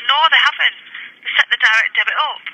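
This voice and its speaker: A woman's voice: on the high side, quick pace, pushed and bright, slightly rough. A British woman working in customer service, speaking in a colloquial, blunt way on a tinny voicemail phone recording. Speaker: customer service executive office staffer. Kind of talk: phone message and casual office chat. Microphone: telephone.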